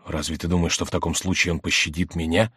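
A man's voice: low voice, little pitch movement, quick pace, fairly bright tone, breathy edge, no room sound, studio quality, heavily acted. A man voicing a fearful, irritable French king in an indignant mutter for an audiobook. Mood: anxious.

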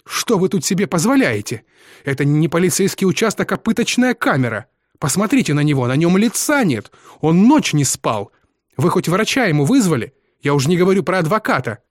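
An audiobook character: a fiercely protective mother acted by a man, indignant and scolding. The pitch is raised and the delivery is louder, in sharp exclamations, recorded dry and close. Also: big pitch swings, fairly quick, no echo. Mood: outraged. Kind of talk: dramatised audiobook dialogue.

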